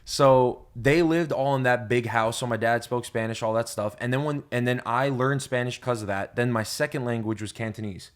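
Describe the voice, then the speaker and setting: A man's voice: medium pitch, moderate pace, few pauses. Profane, rambling young man from New York. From New York, USA. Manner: loose and profane, rambling storyteller. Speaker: podcast host. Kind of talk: casual chat.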